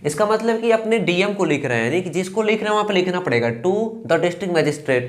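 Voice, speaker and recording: Young guy w eastern up hindi accent teaching, slows down on english bits, says theek a lot. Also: sits high, animated. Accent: Hindi speaker from eastern Uttar Pradesh. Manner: slow and careful when reading English lines, fillers "ठीक" between steps. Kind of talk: explaining a lesson.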